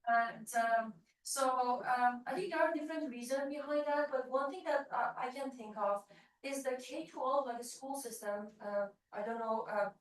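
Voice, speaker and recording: A high-pitched woman with an Iranian accent answers on a panel as a programming professor. She hesitates often, filling pauses with "uh" and "like". Her voice comes from far off in a very echoey hall. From Iran. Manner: hesitant, many fillers. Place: large event hall.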